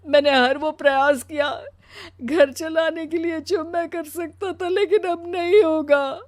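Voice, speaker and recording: A woman's voice: a little high, animated, moderate pace, natural voice. A woman voicing a weary, pleading husband worn down by his burdens in a dramatised story. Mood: anguished, tearful. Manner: pleading, exasperated. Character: weary, overburdened husband, anguished office worker. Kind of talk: dramatised Hindi audio story.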